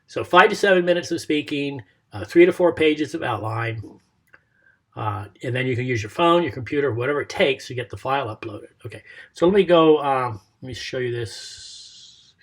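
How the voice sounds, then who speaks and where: A man's voice: mid-range voice, lively intonation, moderate pace. A middle-aged man teaching a recorded college lecture, losing his voice, rambling and tacking "okay?" onto his points. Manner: rambling, checks in with "okay?" and "right?". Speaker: college instructor.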